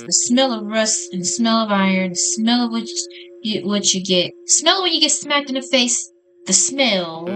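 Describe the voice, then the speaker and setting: A woman's voice: medium pitch, rough recording. A woman reading visual novel text aloud as a gaming host, in an informal way with casual reactions. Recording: music behind the voice.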